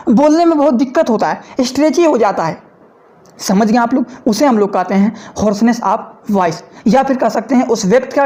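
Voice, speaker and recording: A man giving a fast, loud video lecture to medical students, repeating points and often checking that listeners have understood. He sounds slightly hoarse. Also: very high voice. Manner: repetitive, often asking "understood?", mixing English terms into Hindi; talks loudly and fast. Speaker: medical educator.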